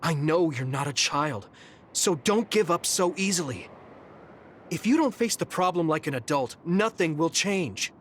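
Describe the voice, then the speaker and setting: A man's voice: fairly high pitch, expressive, measured pace, a bit bright, slight background noise. An actor playing a kind, easygoing young man in a video game cutscene, sincere, casual and encouraging. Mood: earnest, encouraging. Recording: music behind the voice.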